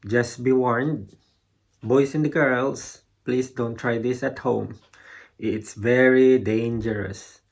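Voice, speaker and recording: Male, Filipino accent, casual gear-tutorial presenter. Unhurried, halting delivery, mid-pitched with a creaky edge. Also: steady tone. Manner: halting, thinking aloud while pointing out settings. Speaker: guitarist giving a gear tutorial. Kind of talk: casual unscripted demonstration.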